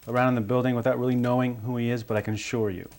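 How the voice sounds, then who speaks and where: An actor playing an evasive, defensive son under police questioning, halting and defiant, in a naturalistic film drama. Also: male voice, mid-range voice, little pitch movement, a little mellow, natural voice. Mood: doubting, insistent.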